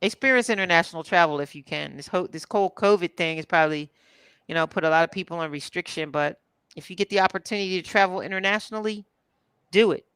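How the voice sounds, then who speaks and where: A woman from Philadelphia chatting casually as a co-host, relaxed and chatty. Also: on the low side, clear, resonant tone, no echo. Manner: relaxed, chatty, drawn-out fillers. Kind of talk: casual chat.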